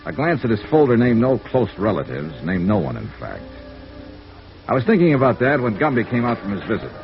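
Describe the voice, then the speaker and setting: An old muffled radio drama recording of a hard-boiled, world-weary private investigator. He has some gravel in his voice and a lively, swinging pitch. His lines are clipped and wry, in classic noir fashion. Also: brisk. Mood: wry, thoughtful. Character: world-weary, sympathetic freelance insurance investigator, a man. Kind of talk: 1950s radio noir acting, first-person narration. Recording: muffled vintage radio recording, faint music.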